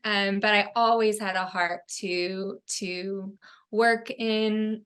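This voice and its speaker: A woman's voice: medium pitch, fairly even intonation, takes it slowly, a bit bright, clean tone. A young woman from California, a guest in a casual interview, talking in a reflective, earnest way with frequent ums. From Fresno, California, US. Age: young adult.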